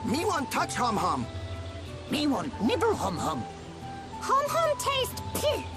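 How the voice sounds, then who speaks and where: A tiny, excitable forest creature from a video game, chatters in very high, wildly swooping tones. The baby-talk is slow and singsong, with repeated phrases over prominent music. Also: female voice, exaggerated voice. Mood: excited, playful. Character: small, cute, excitable forest creature villager.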